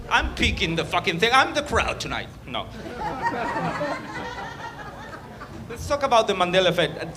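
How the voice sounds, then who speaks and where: Argentinian man doing lively stand-up. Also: high voice. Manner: rambling, crude, self-mocking jokes. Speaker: stand-up comedian. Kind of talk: stand-up set. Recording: live club with loud crowd.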